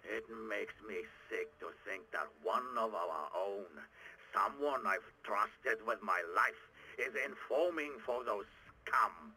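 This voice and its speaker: An actor playing a weary, driven middle-aged revolutionary leader in a video game audio log, with a breathy, gritty half-whisper and halting, brooding delivery. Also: male voice, on the low side, animated, pushed and bright, slight background noise, exaggerated voice, rough recording. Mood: angry, bitter. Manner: brooding, deliberate, halting monologue.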